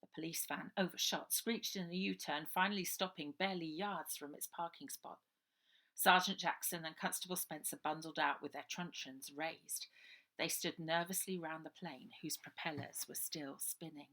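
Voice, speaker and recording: A woman's voice: low-pitched, fairly even intonation, moderate pace, fairly bright tone. A woman reading her children's novel aloud as a dramatic storybook narration.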